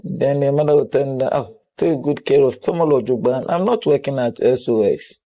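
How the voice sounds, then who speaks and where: A middle-aged woman with a Ghanaian accent, a guest in an interview, speaking softly and haltingly in nonstandard English. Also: extremely low pitch.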